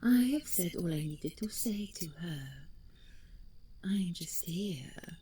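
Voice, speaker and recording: A woman playing a menacing, mocking female ghost in an audio roleplay, with a whispery rasp and a taunting, drawn-out delivery. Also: on the low side, expressive, dark tone, slight background noise. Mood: ominous.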